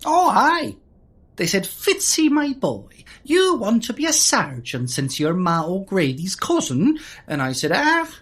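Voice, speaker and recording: Comic radio-play sergeant, broad Irish lilt, husky, unhurried, folksy and dim. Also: female voice, medium pitch, huge pitch swings, heavily acted. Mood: folksy, boastful. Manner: lilting, folksy, agreeable. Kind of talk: comic audio drama acting.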